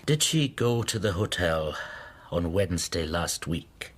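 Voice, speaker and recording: An actor playing a mild, lonely, wronged bookseller in despair, halting and introspective in a radio drama. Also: male voice, low voice, animated. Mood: anxious, subdued. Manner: introspective, halting, talking to himself. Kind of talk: radio drama acting with interior monologue.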